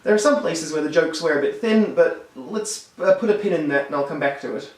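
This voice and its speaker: A man with a high voice that has gone hoarse delivers a wry, upbeat film review. He sounds set back from the microphone, and the room adds a noticeable echo. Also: brisk. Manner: wry, enthusiastic critique. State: hoarse, losing his voice. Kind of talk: scripted video review. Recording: roomy echo, distant mic.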